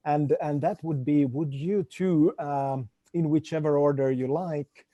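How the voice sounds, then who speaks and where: A man's voice: a little high, fairly even intonation, measured pace, warm tone, dry recording, lo-fi sound. A man moderating a panel on a video call, friendly, often repeating words as he goes.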